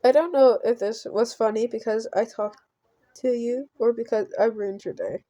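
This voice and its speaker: A giggly woman reading a visual novel aloud with casual commentary, halting and stumbling over words. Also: on the high side, warm tone, no room sound, dull audio. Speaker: gaming channel host.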